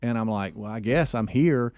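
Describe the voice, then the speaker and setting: A man's voice: mid-range voice, normal speed, darkish tone, smooth, no echo, clean studio sound. A man co-hosting a radio talk show, telling a long rambling story full of restarts. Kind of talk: casual chat.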